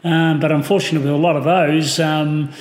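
A man with a New Zealand accent whose voice sits a little on the high side. He talks in a halting way, full of ums and restarts. The sound is roomy and echoey. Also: level tone. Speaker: podcast guest, car owner. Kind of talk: casual podcast chat.

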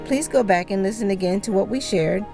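A woman co-hosting a religious podcast, reading a script in a calm, measured way. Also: medium pitch, over music. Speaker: podcast co-host.

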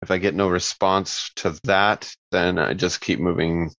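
A man's voice: sits low, fairly even intonation, measured pace, vocal fry, a bit bright, dry recording, muffled recording. A man, playing a tabletop roleplaying game in a casual, improvised way.

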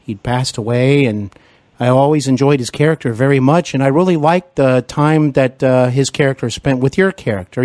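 A man's voice: medium pitch, darkish tone, dry recording. Guy hosting a podcast interview, rambly, lots of ums, dry close mic sound. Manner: rambling, filler-heavy questions. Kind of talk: casual interview questions.

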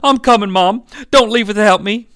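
Young boy played by a male reader, high and bright, anxious, urgent, pleading storybook character. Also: expressive, moderate pace, no echo, clean studio sound. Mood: desperate.

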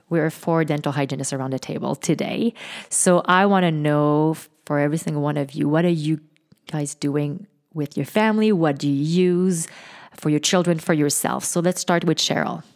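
A woman hosts a podcast panel with a notably low voice. She keeps the talk moving, passing the floor along with friendly questions. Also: moderate pace. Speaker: podcast host. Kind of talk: moderating a panel.

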